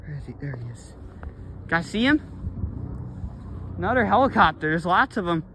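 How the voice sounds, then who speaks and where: Excitable young man outdoors on a dock. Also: high voice, moderate pace, slight background noise. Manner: excitable, exclaims over finds. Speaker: magnet fishing vlogger. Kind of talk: excited casual commentary. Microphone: handheld phone or body camera.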